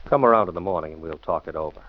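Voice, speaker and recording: A man's voice: deep voice, racing, natural voice. A man acting a shrewd, scornful radio detective who lectures emphatically in rhetorical questions, in 1940s style. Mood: genial, formal. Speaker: detective lead and show host. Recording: muffled 1940s radio recording.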